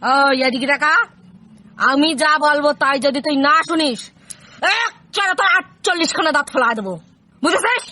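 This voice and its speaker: A woman's voice: sits high, lively intonation, normal speed, pushed and bright. A woman voicing a bossy, threatening scolder in a cartoon. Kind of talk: cartoon dubbing.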